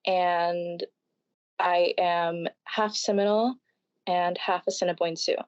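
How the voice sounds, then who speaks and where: A woman in her twenties, a podcast guest, answering in a thoughtful, casual way with fillers and soft laughs. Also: lowish voice, fairly even intonation, takes it slowly, smooth, dry recording, dull audio. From Florida, United States. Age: 20s.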